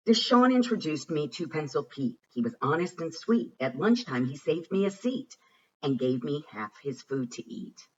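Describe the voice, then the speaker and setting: A man reading a rhyming children's storybook aloud with a rhythmic, expressive and deliberate delivery. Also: fairly high pitch, slightly rough, lo-fi sound. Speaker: reader of a children's picture book.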